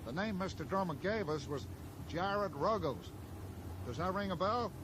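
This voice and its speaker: A man's voice: sits high, lively intonation, normal speed. An actor playing a persistent male police officer in a radio drama, matter-of-fact and probing. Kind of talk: 1980s radio play acting. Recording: noisy old radio broadcast recording.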